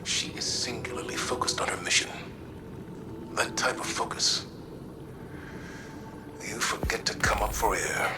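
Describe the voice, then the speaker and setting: A man's voice: fairly low pitch, measured pace, pauses often, noisy background, natural voice. A man playing a dignified, thoughtful military commander who speaks formally and pensively in a video game. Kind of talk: scripted game dialogue.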